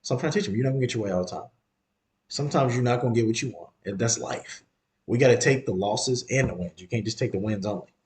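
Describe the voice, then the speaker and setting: A man's voice: medium pitch, fast. Man in his thirties, emphatic casual podcaster. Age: 30s. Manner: conversational, emphatic and repetitive, slangy. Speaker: podcast host. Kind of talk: casual monologue.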